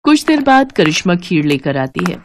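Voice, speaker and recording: A woman's voice: lowish voice, big pitch swings, normal speed, no room sound, clean studio sound. A woman narrating a scripted story as a storyteller.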